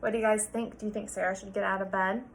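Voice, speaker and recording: A woman's voice: medium pitch, flat delivery, fast talker, slight background noise, poor audio. A woman teacher reading a picture book aloud to children, explaining things and prompting them with questions.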